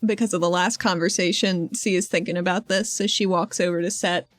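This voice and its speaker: A woman's voice: on the low side, steady tone, fairly quick, no room sound, soft background music. A woman playing a tabletop role-playing game, chatting informally and playfully as she describes what her character does. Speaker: player in a tabletop RPG podcast. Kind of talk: casual game table chat and narration.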